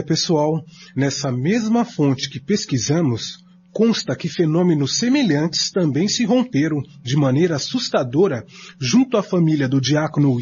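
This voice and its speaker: A man's voice: a little high, a little mellow. A man with a Brazilian accent reading scripted narration in a radio drama. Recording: dull lo-fi audio with hum and noise.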